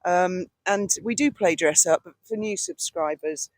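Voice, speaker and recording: A British woman with a loud, carrying voice, chatting bluntly and casually as a vlog co-host. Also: on the low side, a little slow, no room sound, low-quality recording. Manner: blunt, outspoken. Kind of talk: casual, opinionated chat. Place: outdoors on a boat deck at a marina, breezy evening. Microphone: cheap handheld microphone passed between speakers.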